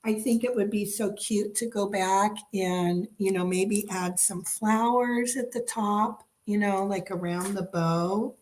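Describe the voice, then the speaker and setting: A woman hosting a casual live craft chat from her home, slightly hoarse and rambling with many fillers and restarts. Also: medium pitch, steady tone, unhurried, hardly any pauses, darkish tone, slight background noise. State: slightly hoarse, froggy throat. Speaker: craft channel host. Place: home dining room craft table.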